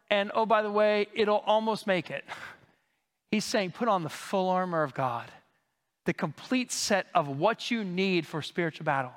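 A male pastor teaching from the pulpit with a high voice, unpacking Greek words in a relaxed, conversational manner. He keeps coughing as he recovers from a lost voice, and his words ring out with a long reverb through the church sanctuary. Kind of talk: expository sermon.

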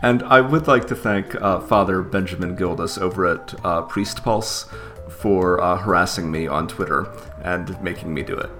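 A man's voice: deep voice, normal speed, fairly bright tone, soft background music. A man co-hosting a podcast in casual, easygoing chat with hesitant fillers and chuckles. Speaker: podcast co-host.

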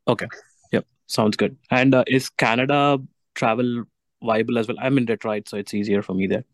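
A man's voice: medium pitch, fairly even intonation, normal speed. A man calling in with brief, casual questions. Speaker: caller.

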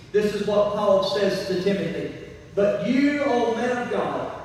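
A man preaching a church sermon as pastor, folksy and emphatic, repeating key phrases, his voice a little weakened by laryngitis. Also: high voice. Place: church, speaking to a congregation. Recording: very echoey hall, distant mic, faint noise.

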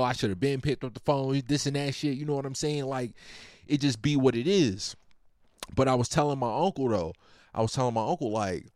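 A man's voice: mid-range voice, no room sound, clean studio sound. A man in his late twenties talking casually and at length about his family, in a rambling, slang-heavy, laid-back way. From Virginia. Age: 20s. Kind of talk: casual personal monologue.

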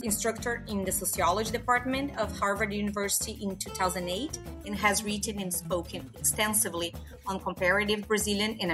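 Female interview host, mid pitch, steady formal read, music underneath. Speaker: podcast host. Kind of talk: reading a guest introduction.

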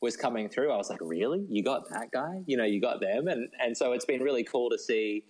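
A man's voice: medium pitch, lively intonation, brisk, a little mellow. A man chatting casually as an interview guest, thinking aloud and padding his sentences with "like" and "you know". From Australia. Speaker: guest, novelist.

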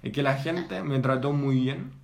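A young Italian man with an Italian accent, chatting casually in a second language, pausing and searching for words as he tells his story. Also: medium pitch, vocal fry. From Italy. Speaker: guest. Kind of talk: casual chat.

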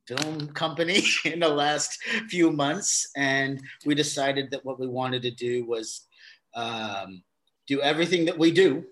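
A man's voice: mid-range voice, unhurried, a bit bright. A middle-aged man, a guest on an interview show, explaining his theater company's new work in a rambling way, often restarting his sentences. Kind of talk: casual interview talk.

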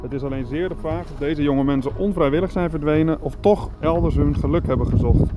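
An actor playing a measured, official police commissioner giving a formal, guarded press statement in an audio drama. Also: male voice, on the high side, loud music behind the voice, close to an everyday voice.

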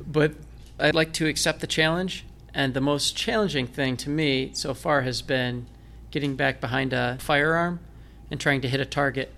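Gravelly-voiced Michigan man telling stories. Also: mid-range voice, steady tone, moderate pace, hardly any pauses, pushed and bright. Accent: Northern Michigan American. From Michigan, United States. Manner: self-deprecating, joking. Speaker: guest, blind military veteran. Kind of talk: conversational interview storytelling.

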